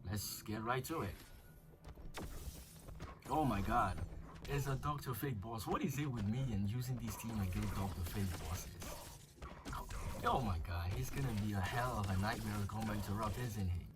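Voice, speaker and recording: A young man talks his way through a mobile fighting game, chatty and a little crude as he thinks aloud. His voice sits slightly low and carries a touch of flu. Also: expressive, moderate pace, background noise. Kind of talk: casual gameplay commentary.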